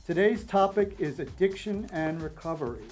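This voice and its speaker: Calm man hosting a TV interview. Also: on the high side, normal speed, soft background music. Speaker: host. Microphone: studio TV set.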